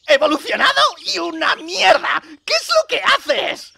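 A man's voice: very high-pitched, very animated, a little slow, gravelly, sharp tone, slight background noise, exaggerated voice. A man voicing a frantic, foul-mouthed, aggrieved little electric monster in a Castilian Spanish comedic cartoon dub. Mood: angry ranting. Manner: swearing, ranting. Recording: music under the voice.

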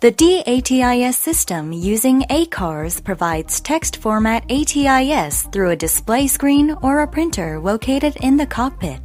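High, lively woman narrating a lesson. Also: a little slow, hardly any pauses, faint music behind. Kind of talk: scripted educational narration.

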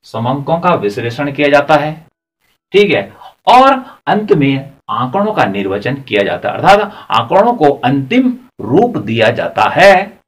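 A man's voice: mid-range voice, sing-song, measured pace. A man in his forties teaching at a chalkboard, explaining step by step and posing rhetorical questions to the class. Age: 40s. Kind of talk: blackboard lecture. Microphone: lapel mic.

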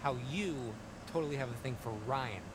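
A man's voice: medium pitch, brisk, lightly acted. An actor playing a sarcastic, exasperated young male camp counselor in a video game. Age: young adult. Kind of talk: cinematic video game dialogue. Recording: game audio with ambient noise.

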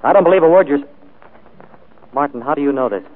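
An actor playing a wealthy, proud and urbane employer in a 1940s radio drama, speaking in a clipped, imperious way. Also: male voice, on the high side, lively intonation, fast talker. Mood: curious, insistent. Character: wealthy, commanding employer, suave and proud gentleman. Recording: tinny, noisy 1940s radio broadcast.

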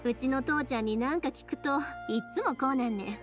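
A woman voicing a cheerful, caring young fireworks maker with a Kansai accent, chatty and expressive, in an anime game. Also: sits high, fast, over music, natural voice. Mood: warm. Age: young adult.

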